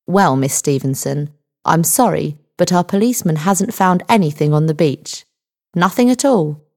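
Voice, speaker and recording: Woman voicing a patronizing, low-pitched police sergeant. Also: animated, darkish tone. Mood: friendly, doubtful. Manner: condescending, measured. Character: patronizing male police sergeant, friendly but dismissive. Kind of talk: audiobook character dialogue.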